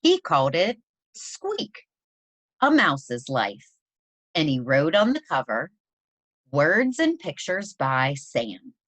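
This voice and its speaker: A woman with a low voice reads a picture book to children. Her delivery is unhurried and highly animated in pitch, with deliberate stress on key words. The sound is close and dry. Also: no room sound. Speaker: presenter reading a children's picture book aloud. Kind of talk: expressive read-aloud storytelling for children.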